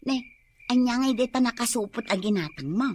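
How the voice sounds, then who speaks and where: A woman's voice: fairly high pitch, expressive, fairly quick, a little hiss or hum, lightly acted. A woman playing a nagging but affectionate village wife in a comic radio drama, teasing and complaining.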